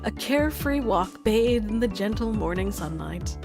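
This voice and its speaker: A woman's voice: mid-range voice, animated, moderate pace, music in the background, lightly acted. A woman playing an easygoing, wry teenage boy who narrates his day in a visual novel game. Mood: content.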